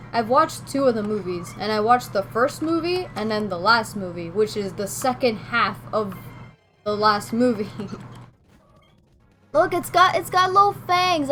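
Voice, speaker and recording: A woman's voice: a little high, fairly bright tone. A woman from Venezuela livestreaming a game, chatting casually and rambling as she answers viewers. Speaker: game streamer. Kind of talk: casual livestream chat while playing. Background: music.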